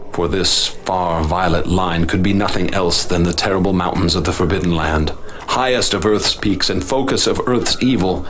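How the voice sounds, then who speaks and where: A man's voice: sits low, fairly bright tone, slight background noise, rough recording. A man narrating a radio drama as a professor, tense and dramatic, sometimes stumbling over his words. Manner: tense and dramatic, with stammered restarts. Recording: music playing under the voice.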